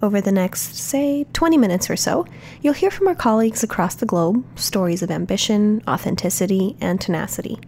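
Podcast host lady, friendly scripted read, warm low tone, bit of vocal fry. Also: expressive, a little fast, hardly any pauses, a little hiss or hum. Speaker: podcast host from a communications team.

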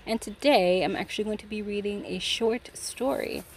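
A woman's voice: mid-range voice, normal speed, slight background noise. A woman podcast host who writes fiction, chatting casually about her work and then reading her own short story aloud. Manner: casual, relaxed intro, then steady storytelling.

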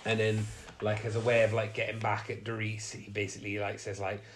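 A man's voice: lowish voice, completely flat delivery, fairly quick, slight background noise. A man with a blocked-up nose from a cold, casually recapping a film plot with many fillers. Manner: recounts plot with many fillers, acts out quotes. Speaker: podcast co-host.

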